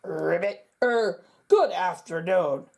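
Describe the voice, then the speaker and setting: Woman doing a croaky, polite frog principal. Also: lowish voice, full character voice. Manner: slow, formal and courteous. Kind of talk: storybook character voice.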